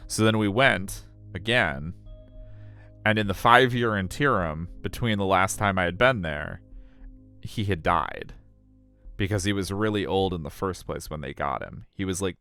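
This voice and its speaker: Deep, smooth male streamer. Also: fairly bright tone. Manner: rambling, informal. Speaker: streamer reading a visual novel. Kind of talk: casual game commentary and story narration.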